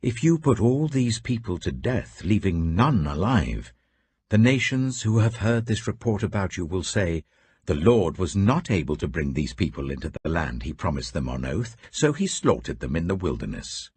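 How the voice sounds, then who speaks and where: A man's voice: low voice, sing-song, moderate pace, darkish tone, breathy edge, no echo, exaggerated voice. British guy doing an earnest israelite leader, urgent, pleading, voice swinging up and down, scripture audiobook. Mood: impassioned pleading. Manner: urgent, impassioned.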